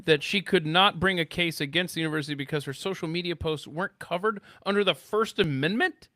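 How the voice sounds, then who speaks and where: A man's voice: a little high, fairly quick, pushed and bright, no echo, clean studio sound. A man hosting a casual talk show, chatting and reading news items in a blunt, exclamatory way.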